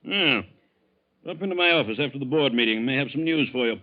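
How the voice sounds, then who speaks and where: Man playing animated paternal bank boss, old radio. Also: medium pitch, natural voice. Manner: brisk, paternal, expressive. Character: bank boss, paternal, mildly annoyed, male executive. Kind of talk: 1940s radio acting. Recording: muffled, lo-fi 1940s radio recording.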